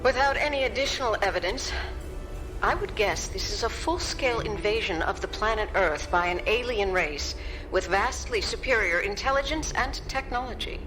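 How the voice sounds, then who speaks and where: An actress plays a female government scientist in a radio drama, reporting precisely while uneasy under pressure. Her pitch is average for a woman, and music plays clearly behind her. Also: expressive, moderate pace, close to an everyday voice, rough recording. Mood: uneasy, measured.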